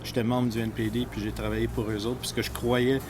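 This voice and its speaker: A man with a Canadian French accent answers at an ordinary middle pitch. He pauses and fills often as he talks. Clear traffic noise from the street runs behind him. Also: little pitch movement. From Ottawa, Canada. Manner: hesitant, many fillers. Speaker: political candidate. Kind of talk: interview answers.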